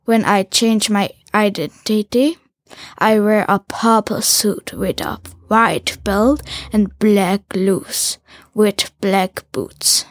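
A teenage girl, a Hungarian speaker, reading her own school text aloud slowly and carefully. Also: mid-range voice, no echo, studio quality.

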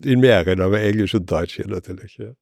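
A man with a very low voice answers a street interviewer, halting and searching for each word. The sound is close and dry, with no room around him. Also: vocal fry, a little mellow, no echo. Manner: hesitant, searching for words. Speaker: passer-by interviewee.

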